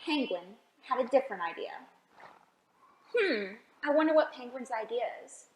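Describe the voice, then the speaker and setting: A woman reading a picture book aloud for a children's storytime, expressive and often asking the listeners questions. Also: fairly high pitch, moderate pace, reverberant, rough recording. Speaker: library storytime reader.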